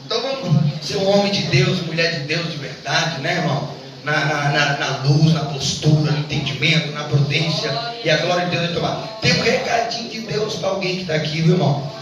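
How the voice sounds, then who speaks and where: High-voiced Brazilian preacher in an echoing church. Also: male voice, fairly quick, muffled recording, over music. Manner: repetitive, exhorting, often addressing listeners with rhetorical questions. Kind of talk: fervent Pentecostal sermon to a congregation. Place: church service indoors. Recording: very echoey church, distant mic, clear noise.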